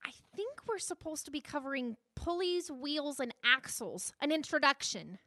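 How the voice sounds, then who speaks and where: Very high, squeaky timid student. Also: female voice, sing-song, moderate pace, sharp tone, no room sound, clean studio sound, full character voice. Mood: timid, puzzled. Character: timid, anxious young student in physics class. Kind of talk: comedic radio drama acting.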